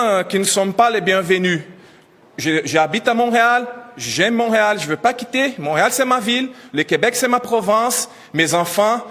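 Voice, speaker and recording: Emotional high-voiced man in his thirties. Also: fairly bright tone, big-room sound. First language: not French. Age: 30s. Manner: pleading, emotional, repeats questions. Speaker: immigrant worker testifying. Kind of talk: emotional public testimony.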